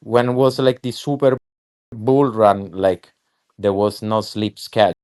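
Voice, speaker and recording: A man with a lowish, smooth, clear voice talks slowly in a casual online chat. He rambles, swears freely, and fills gaps with "like" and "uh". He often restarts his sentences. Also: darkish tone. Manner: rambling, profane, many fillers and restarts. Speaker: guest artist.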